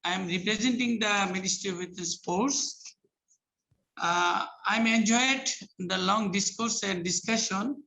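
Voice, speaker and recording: A man from Bangladesh, a government official, speaking formally and haltingly on a video call. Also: high voice, vocal fry, pushed and bright, low-quality recording. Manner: formal, halting, repeats greetings.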